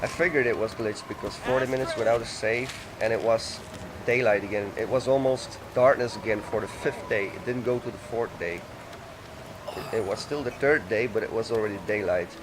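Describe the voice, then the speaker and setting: A man's voice: lowish voice, fairly quick, background noise. A man chatting loosely and rambling as he plays a video game, often correcting himself. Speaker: let's play gamer. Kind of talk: casual gaming commentary. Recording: music playing under the voice.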